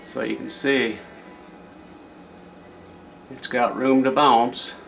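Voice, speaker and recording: A man's voice: medium pitch, fairly even intonation, extremely slow, vocal fry, a bit bright. A man giving a casual hands-on repair demonstration in a plain-spoken, folksy way, as the host of a repair video. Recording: distant microphone, music playing.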